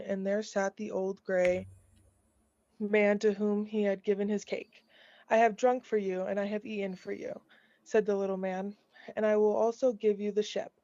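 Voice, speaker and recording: A woman's voice: medium pitch, mellow, no echo. A woman reading a children's fairy tale aloud as scripted narration, in a dull, lo-fi recording. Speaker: storybook reader.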